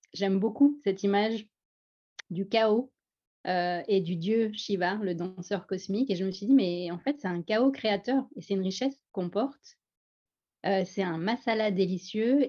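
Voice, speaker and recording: A woman's voice: mid-range voice, mellow. A middle-aged woman from France with a Parisian accent, telling her life story with humor as a webinar guest. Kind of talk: webinar self-introduction. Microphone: video call. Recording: slightly dull video call audio.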